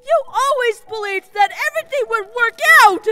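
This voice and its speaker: A woman's voice: extremely high pitch, normal speed, pushed and bright. A woman voicing an emotional young queen who pleads and hopes, in a fan audio drama. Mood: pleading.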